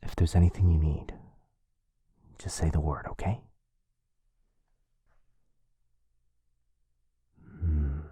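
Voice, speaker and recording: A man playing a gentle, centuries-old vampire lover in an ASMR roleplay, soft-spoken and reassuring. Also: a bass voice, level tone, normal speed, breathy edge, no room sound, heavily acted. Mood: gently concerned.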